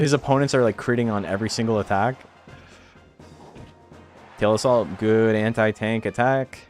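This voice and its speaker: A man streaming and commentating a video game tournament in a laid-back, wry, teasing way, calling viewers "brother" and "man". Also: fairly low pitch.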